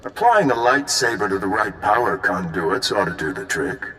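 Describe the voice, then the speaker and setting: An actor playing a decisive, businesslike spy chief briefing an agent in a video game. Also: male voice, fairly low pitch, expressive, moderate pace, sharp tone, long reverb tail. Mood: brisk, urgent. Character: gruff, brisk male spy chief giving mission briefings. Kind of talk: video game cutscene acting.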